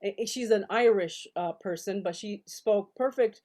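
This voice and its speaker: An older woman talks over a video call from her book-lined home study. She tells her stories in an animated, chatty way, full of fillers and self-corrections, at a middle pitch. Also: normal speed. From El Paso, Texas. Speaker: guest, novelist and scholar. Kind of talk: casual storytelling interview.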